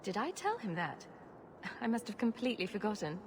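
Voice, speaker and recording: A woman's voice: mid-range voice, very animated, brisk, a little mellow, close to an everyday voice. A woman playing a wry, sarcastic rogue adventurer in a video game, with a dry, flippant delivery. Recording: clear ambient noise behind voice.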